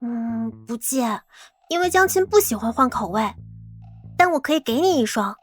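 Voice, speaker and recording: A soft, high-pitched college girl acted by a woman, naive and pitiably cute. She speaks with childlike, deadpan earnestness in an audio drama, music playing quietly behind. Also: normal speed. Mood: shy, earnest. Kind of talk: Chinese audio drama acting.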